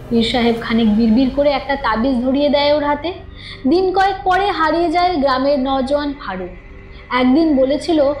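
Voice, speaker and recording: High-pitched woman narrating suspense, echoing. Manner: dramatic, storytelling delivery. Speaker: narrator of a horror audio story. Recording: echoing studio voice with music underneath. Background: background music.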